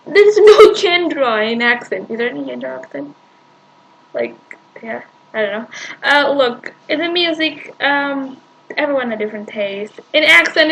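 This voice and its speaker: A woman with a high, bright, sharp voice rants back at a video she is watching. She sounds exasperated and rambles, stopping and restarting her sentences. A light hiss sits under her voice. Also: low-quality recording. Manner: exasperated, ranting, frequent sighs and restarts. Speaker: reaction video host. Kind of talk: reacting to a played video, arguing with it.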